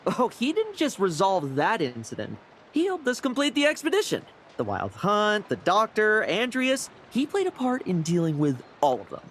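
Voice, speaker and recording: An actor playing a cocky, mischievous young knight captain in a video game, with a teasing, theatrical delivery. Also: male voice, high-pitched, huge pitch swings, normal speed, a bit bright, slight background noise, full character voice. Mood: boastful.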